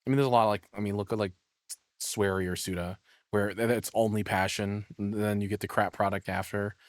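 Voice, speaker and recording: A man's voice: on the low side, level tone, a little fast, no room sound. Rambling, opinionated man in a dry close space. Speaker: streamer reacting to a video. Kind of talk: casual reaction commentary.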